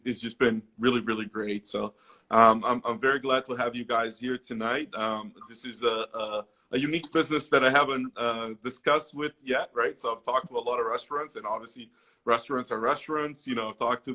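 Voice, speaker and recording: A man with a bright, forceful voice hosts a relaxed local business interview. He talks loosely, stacking fillers and restarting his sentences as he goes along. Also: mid-range voice, a little fast. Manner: chatty, many fillers and restarts. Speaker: host. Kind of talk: casual interview.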